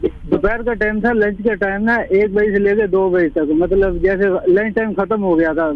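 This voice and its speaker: Over a thin, tinny phone line comes a high-voiced man with a Karachi accent. He is a caller to a radio show, recounting things haltingly and repeating his words as he goes. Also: steady tone, dry recording. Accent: Karachi Pakistani. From Pakistan. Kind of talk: recounting an eerie incident on a phone-in. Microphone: telephone.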